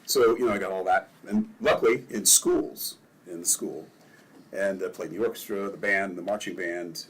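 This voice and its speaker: An older man from Maryland, a ward councilman, telling relaxed stories in a casual interview and chuckling often. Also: sits low, normal speed, slight background noise, poor audio.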